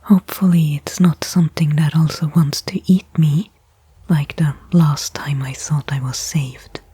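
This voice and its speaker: A woman playing a weary stranded astronaut in a whispered ASMR sci-fi roleplay, breathy and hushed, thinking aloud with pauses between thoughts. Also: sits low, steady tone, heavily acted. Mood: cautiously hopeful. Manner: slow, soliloquizing, pausing between thoughts. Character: weary stranded female astronaut, anxious, faintly hopeful.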